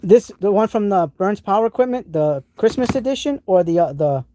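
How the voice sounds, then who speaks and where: A man's voice: high voice, lively intonation, brisk, warm tone, slight background noise. A man with a New England accent hosting a live tool stream, showing off tools and answering chat in an enthusiastic, rambling way with many restarts. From New England, United States.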